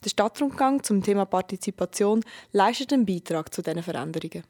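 A woman's voice: medium pitch, lively intonation, quick pace, darkish tone. Woman reading a radio news feature. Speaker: radio reporter. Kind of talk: scripted news feature narration.

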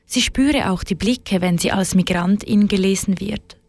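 A woman reporter reading a scripted radio news segment in an even tone. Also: mid-range voice, measured pace.

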